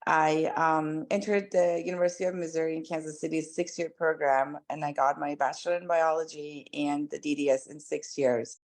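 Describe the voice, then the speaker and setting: This is a woman from Iran in her forties with a somewhat low voice. She is a dentist and a podcast guest. She tells her story in a chatty, animated way, with plenty of "like" and "um". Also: normal speed, hardly any pauses. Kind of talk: casual interview storytelling.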